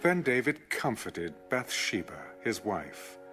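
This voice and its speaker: A man's voice: on the low side, very animated, slow pace, pushed and bright, faint music behind. A man narrating scripture from a script as dramatic audio Bible storytelling.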